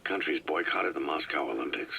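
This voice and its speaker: An actor playing a weary, guarded veteran soldier who speaks in short, clipped questions in a low, quiet voice. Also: male voice, a little fast, gravelly, husky, full character voice. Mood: terse. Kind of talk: video game cutscene voice acting. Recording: noisy cassette recording.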